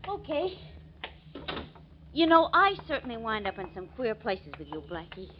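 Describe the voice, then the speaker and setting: A woman's voice: a little high, very animated, normal speed, a little hiss or hum. A woman acting a detective's teasing, devoted, witty girlfriend in arch, playful 1940s radio style. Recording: muffled vintage 1940s radio recording.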